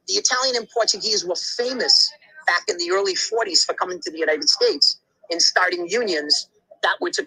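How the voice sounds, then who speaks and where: Forceful, husky-voiced man rambling emphatically. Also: high-pitched, poor audio. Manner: rambling, emphatic storytelling with many asides and restarts; blunt, occasional swearing. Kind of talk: casual conversation.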